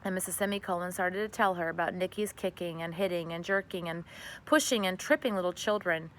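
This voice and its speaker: A woman reading a children's story aloud as narrator, expressive and conversational. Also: lowish voice, brisk, a little hiss or hum, rough recording. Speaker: storybook reader.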